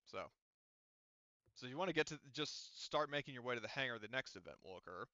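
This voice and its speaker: A man's voice: mid-range voice, lively intonation, a little fast, bright, forceful tone, no room sound, highs cut off. A man running a tabletop roleplaying game as game master, talking casually and thinking aloud as he narrates and explains rules.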